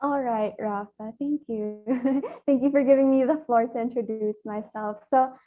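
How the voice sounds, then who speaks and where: A woman's voice: on the high side, steady tone. An eighteen-year-old woman from the Philippines, a pageant contestant chatting eagerly in a video call interview, often laughing. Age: teens. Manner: giggly, eager, fast talking. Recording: dull lo-fi video call audio.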